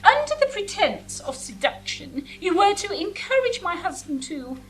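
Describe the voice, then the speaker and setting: A woman's voice: very high-pitched, sing-song, moderate pace, faint music behind, poor audio. A woman playing a haughty, scheming Queen in a comic radio play, grand, arch and theatrical. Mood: imperious. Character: haughty scheming murderous Queen, vain and imperious.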